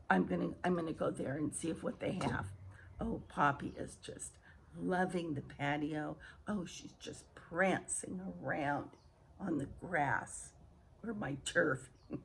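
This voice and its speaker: A woman vlogger with a hoarse early-morning voice, chatting casually and haltingly to viewers as she repeats and restarts phrases. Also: lowish voice. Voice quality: husky, hoarse. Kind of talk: casual rambling chat to viewers. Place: outdoor home patio.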